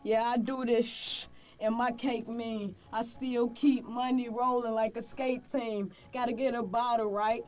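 A man's voice: very high voice, level tone, unhurried, distant mic, soft background music. A young man rapping a rhythmic, slang-heavy verse into a studio mic in a vocal booth. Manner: rhythmic, clipped rap delivery with slang. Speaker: rapper. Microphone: studio condenser mic with pop filter.

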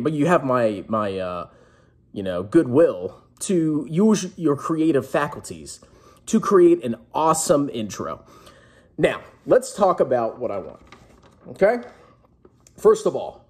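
A man's voice: medium pitch, darkish tone, breathy edge. A man in his thirties talking casually to camera like a jokey, brash showman, making an emphatic announcement. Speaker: personal finance vlogger, host. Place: small indoor room at home.

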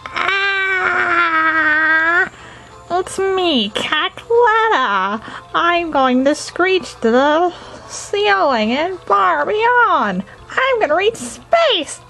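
A woman's voice: very high-pitched, animated, extremely slow, creaky, pushed and bright, soft background music, pitched above the performer's own voice, exaggerated voice, poor audio. A woman voicing a manic, boastful game villain creature in a shrieking, theatrical manner for an amateur comic dub. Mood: shrieking, triumphant.

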